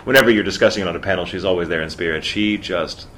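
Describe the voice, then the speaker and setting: A man from Texas with a mid-Atlantic theater accent, speaking as a voice actor guest in a casual interview in an articulate, measured way. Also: sits low, fairly bright tone, a little hiss or hum.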